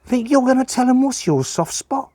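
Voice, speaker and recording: A man's voice: very high-pitched, expressive, normal speed, dark tone, no echo, pitched above the performer's own voice. An actor playing a teasing but loving rural railroad father with a folksy dialect.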